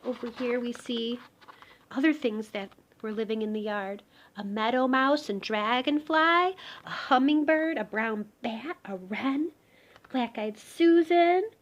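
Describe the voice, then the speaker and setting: A woman's voice: fairly high pitch, takes it slowly, lo-fi sound. A woman reading a children's picture book aloud expressively, talking directly to young listeners. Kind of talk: expressive children's storybook read-aloud with asides.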